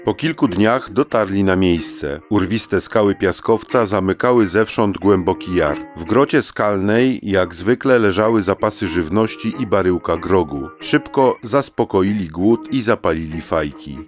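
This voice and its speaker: A man's voice: sits low, a little slow, few pauses. A man reading a scripted adventure story as a storybook narrator. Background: faint guitar music.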